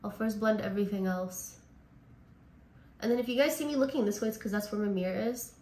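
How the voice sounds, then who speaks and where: A woman from Canada talks through her makeup routine in a loose, chatty way, her voice hoarse and raspy from a lingering cold. Her pitch sits in an ordinary middle range. The sound carries a noticeable echo from the room around her. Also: brisk, darkish tone. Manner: chatty, says like and honestly a lot. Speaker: beauty vlogger. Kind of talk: casual makeup tutorial chat.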